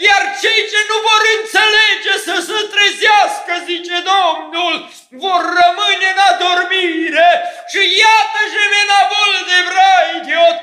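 A man giving an ecstatic prophecy in a church, chanting and shouting, mixing speech with repeated sung refrains in tongues. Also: very high-pitched, steady tone, roomy sound, faint music behind, a faint crowd. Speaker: prophesying preacher.

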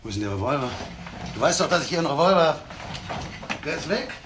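An actor playing a hostile, brutal middle-aged father in a crime radio drama, gruff and blunt. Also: fairly high pitch, animated, fairly quick, noisy background, close to an everyday voice. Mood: agitated.

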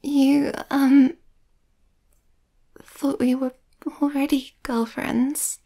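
Woman as a shy, slow-talking werewolf girlfriend. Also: high-pitched, level tone, full character voice. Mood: nervous, tender. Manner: hesitant, soft-spoken, often half-whispering. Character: shy, sweet, overthinking young werewolf girlfriend. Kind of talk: ASMR girlfriend roleplay.

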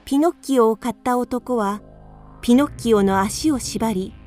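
A woman narrating a children's radio drama in a calm, even storytelling manner. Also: on the high side, normal speed, faint music behind.

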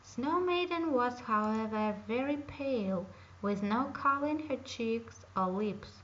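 A woman reading a fairy tale aloud in a slow, careful storytelling manner. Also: on the high side, expressive, fairly bright tone. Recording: dull lo-fi home recording.

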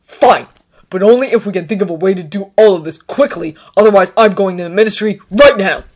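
A woman's voice: low-pitched, steady tone, fairly quick. A woman voicing an angry, stubborn teenage boy in a story reading, curt and combative. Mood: barking. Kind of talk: dramatized fiction reading.

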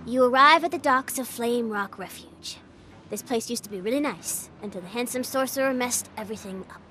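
A woman's voice: on the high side, brisk, pushed and bright. A woman voicing a hyperactive, unhinged teenage girl game master who tells her story in theatrical slang for a video game. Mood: dramatic narrating. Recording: music behind the voice.

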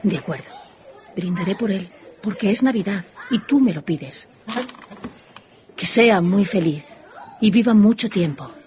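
A woman playing an indignant, sharp-tongued wife and mother in a radio drama. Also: medium pitch, expressive, unhurried, pauses often, highs cut off, noisy background, close to an everyday voice. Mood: grudging. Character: indignant, outspoken wife and mother of poor family. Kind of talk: Spanish radio drama acting.

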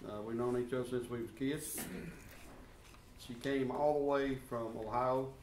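A man introducing a guest teacher and praying, halting with fillers. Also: mid-range voice, fairly even intonation, darkish tone, distant mic. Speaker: church class leader. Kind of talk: introduction and prayer. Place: church classroom. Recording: roomy echo, from off mic, faint room noise.

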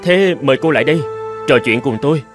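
A man voicing a kind, lonely young scholar in a cartoon fairy tale, gentle and wondering. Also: a little high, fast talker, over music, lightly acted. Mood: pleased, welcoming.

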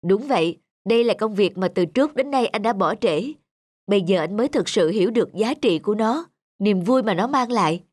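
A woman's voice: mid-range voice, expressive, fairly quick, a little mellow, no room sound, natural voice. A woman voicing an earnest, reflective young husband and devoted father in a cartoon. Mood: hopeful. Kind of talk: cartoon dubbing. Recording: music behind the voice.

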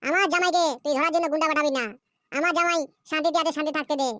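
A high-voiced performer playing furious scorned wives in a Bengali comedy cartoon, ranting and scolding in a shrill, pitched-up childlike voice. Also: fairly even intonation, very fast, sharp tone, no echo. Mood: scolding, threatening. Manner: scolding, foul-mouthed, ranting.

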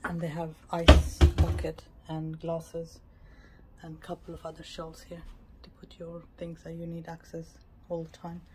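A woman's voice: deep voice, completely flat delivery, unhurried. A woman travel vlogger giving a casual, matter-of-fact tour narration of a small cabin. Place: mostly a small cruise ship cabin. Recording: clear background ambient noise.

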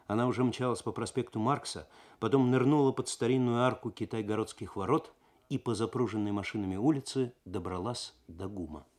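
A man reading a detective story expressively, in the dramatic literary style of 1970s Soviet radio. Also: mid-range voice. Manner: expressive, actorly, suspenseful. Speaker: narrator, reader.

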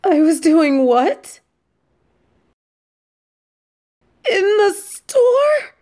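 A woman playing a casual, affectionate, teasing supervillain who gets flustered, speaking haltingly and expressively in an audio drama. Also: very high voice, big pitch swings, darkish tone, exaggerated voice. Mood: embarrassed, flustered. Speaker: voice actress in an audio roleplay. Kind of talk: intimate scripted audio drama addressed to a listener.

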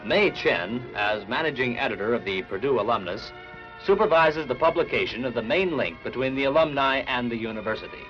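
A man reading scripted 1960s newsreel narration in a measured, formal announcer style. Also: medium pitch, animated, a bit bright, low-quality recording. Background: background music.

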